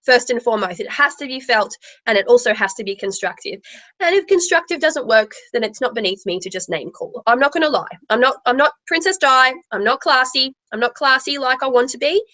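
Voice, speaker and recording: A woman's voice: sits high, expressive, a little fast, sharp tone. A woman with a big voice, speaking emphatically and repeating phrases for stress on a live tarot panel. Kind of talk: opinionated live panel talk.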